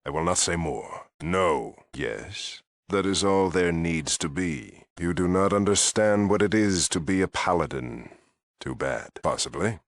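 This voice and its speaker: An actor playing a stern, proud paladin commander in a video game, talking in a clipped, formal, haughty way. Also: male voice, sits low, lively intonation, measured pace, dry recording, clean studio sound, exaggerated voice. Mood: stern, curt. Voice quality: gravelly. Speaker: head of a military order. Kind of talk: dramatic video game dialogue.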